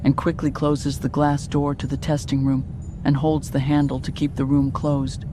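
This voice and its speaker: A narrator reading a scripted audio drama in a measured, descriptive storytelling manner. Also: mid-range voice, flat delivery, background noise.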